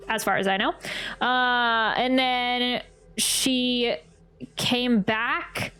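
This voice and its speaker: A woman's voice: fairly high pitch, clean tone. A woman giving casual reaction commentary as she plays a game, hesitantly thinking aloud. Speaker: streamer reacting to a game playthrough. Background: soft game music.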